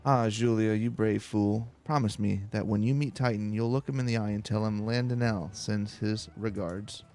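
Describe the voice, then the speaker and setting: A man hosting a calm video game let's play, reading story dialogue aloud in a relaxed, unhurried way. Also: lowish voice, faint music behind.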